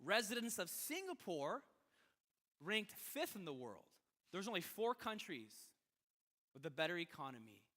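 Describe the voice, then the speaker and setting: A male pastor delivers a sermon in a high-pitched, bright and forceful voice. His manner is conversational yet emphatic, leaning on rhetorical questions. The sound carries the long reverb of a big hall. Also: sing-song, a little slow.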